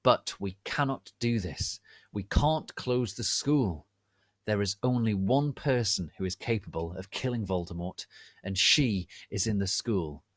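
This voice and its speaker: Guy doing an old wizard headmaster, solemn and stern, drawn out dramatic pauses, storybook voice. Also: fairly low pitch, fairly bright tone, no echo. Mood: grave. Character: grave, angry elderly wizard headmaster.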